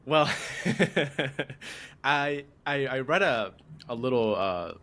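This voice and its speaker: A man's voice: medium pitch, lively intonation, slow pace, a bit bright. A man on a podcast panel explaining things casually and somewhat hesitantly, often repeating phrases.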